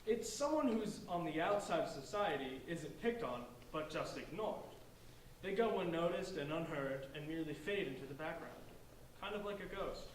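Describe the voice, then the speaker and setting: A man's voice: a little high, expressive, moderate pace, lightly acted. A man playing a polite, bookish fifteen-year-old outsider with an earnest, wordy manner in a staged play reading. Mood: philosophical. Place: large echoey indoor room, distant microphone. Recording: echoey room from far off, faint noise.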